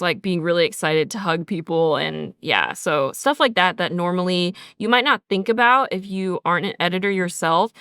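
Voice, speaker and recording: A woman with a bright, crisp voice in a dry, close recording. She chats informally as a podcast host, scattering "like" through her sentences. Her pitch sits in a middle range for a woman. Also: dry recording. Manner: informal, frequent "like" as filler. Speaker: podcast host and audio drama sound designer. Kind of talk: casual behind-the-scenes podcast chat.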